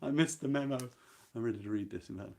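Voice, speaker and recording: A man's voice: medium pitch, fast talker, vocal fry, warm tone, slight background noise. A man hosting a book club, talking informally and live about literature in a reflective, earnest and emphatic way. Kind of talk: informal live talk about a classic novel.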